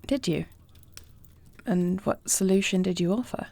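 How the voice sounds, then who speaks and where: Woman doing a headstrong royal dragon-shifter lady, kinda low w fry in it, very proper wording, audio roleplay, super dry close sound. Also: unhurried, a little mellow, no echo. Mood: warm, attentive. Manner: formal, regal phrasing. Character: dragon-shifter princess, newly wed, dutiful, proud, headstrong warrior. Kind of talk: scripted audio roleplay acting, one side of a dialogue.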